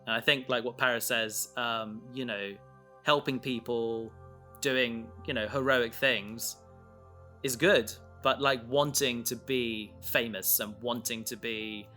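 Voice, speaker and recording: A man in a casual podcast discussion, explaining his creative work in a halting way, thinking aloud. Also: medium pitch, steady tone, sharp tone, soft background music. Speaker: audio drama creator.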